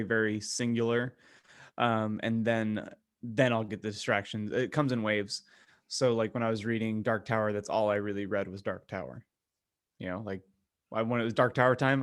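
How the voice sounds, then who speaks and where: A man's voice: fairly low pitch, fairly even intonation, normal speed, no room sound, clean studio sound. A man co-hosting a podcast, talking casually about reading and often repeating the starts of his phrases. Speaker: podcast co-host.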